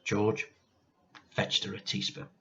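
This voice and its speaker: A man reading a children's storybook aloud like a teacher, with expressive, deliberate and emphatic delivery. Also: muffled recording.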